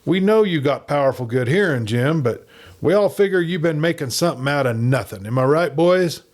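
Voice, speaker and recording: A man voicing a cruel, excitable cowboy outlaw. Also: fairly quick, natural voice. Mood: mocking. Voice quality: squeaky tenor.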